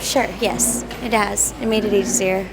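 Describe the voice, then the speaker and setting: A woman from Saipan giving brief, soft-spoken answers in a casual street interview. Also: mid-range voice, fairly even intonation, unhurried, creaky, heavy background noise.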